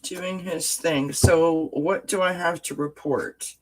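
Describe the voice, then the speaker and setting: A woman's voice: sits low, fairly even intonation, takes it slowly, a little hiss or hum, poor audio. Stuffed-up woman hosting a livestream. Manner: greets chat viewers by name with terms of endearment; frequent filler words and restarts. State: stuffed-up nose. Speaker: livestream host. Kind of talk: casual livestream chat. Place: indoors at home, lights off, sunny window behind.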